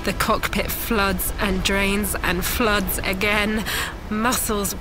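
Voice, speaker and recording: A woman acting as a desperate sailor and parent, narrating a storm at sea in intense, halting fragments for an audio drama. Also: medium pitch, level tone, lightly acted, rough recording. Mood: grim dread. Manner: intense, breathy, halting delivery in short fragments. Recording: dramatic music under the voice.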